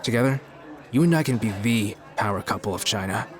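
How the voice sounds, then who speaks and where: A man playing a boastful, self-important showbiz mogul in an audio drama, smooth-talking as he courts. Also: lowish voice, animated, fairly quick, over music, lightly acted. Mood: grandiose.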